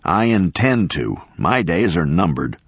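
An indignant old professor, acted out by a male narrator, declaims with pompous emphasis. His pitch leaps up and down as he rants like a storybook crank. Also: sing-song, measured pace, slightly rough, dry recording, studio quality, full character voice. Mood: weary, peevish. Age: older. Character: ranting, unkempt, indignant elderly male professor.

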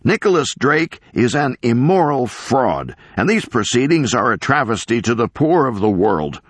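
An indignant old professor, acted out by a male narrator, declaims with pompous emphasis. His pitch leaps up and down as he rants like a storybook crank. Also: big pitch swings, moderate pace, slightly rough, dry recording. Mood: ranting, furious. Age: older. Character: ranting, unkempt, indignant elderly male professor.

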